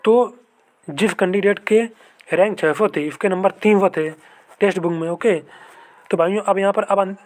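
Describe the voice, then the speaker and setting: A man's voice: high-pitched, moderate pace, pauses often. A young man explains informally to viewers, repeating himself and often checking in with an okay. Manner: repetitive, addresses viewers as brothers, frequent "okay" check-ins. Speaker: exam-prep video host, fellow candidate. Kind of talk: informal explainer of mock test scores and cut-offs.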